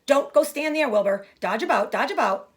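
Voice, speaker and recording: A bossy storybook goose, acted out by a woman reading aloud. The voice jumps high and swings excitedly, barking urgent commands over and over in a frantic manner. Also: normal speed.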